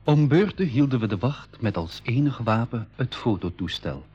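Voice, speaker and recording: An actor narrating an adventurer's tale to young listeners in a measured, scripted way on an old radio recording. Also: male voice, mid-range voice, animated, darkish tone, slightly rough, soft background music. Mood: measured storytelling. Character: adventurer recounting his expedition to young listeners. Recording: dull, muffled 1980s radio recording.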